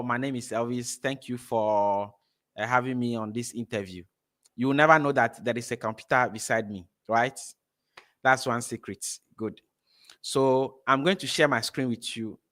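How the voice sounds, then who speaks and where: A man's voice: medium pitch, normal speed, pushed and bright. A man from Ghana hosting a live-streamed workshop for teachers, speaking energetically through a phone mic and often checking in with "right?". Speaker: workshop host and teacher trainer. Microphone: phone camera mic.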